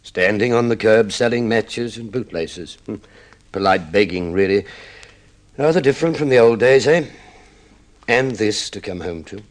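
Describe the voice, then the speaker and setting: Casting: male actor as a low-pitched, middle-aged man fallen on hard times, proud, wry and self-deprecating, golden-age radio drama style. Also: animated, moderate pace, lightly acted. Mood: wry, bitter. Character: proud, impoverished husband putting on a brave front. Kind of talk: old-time radio drama acting.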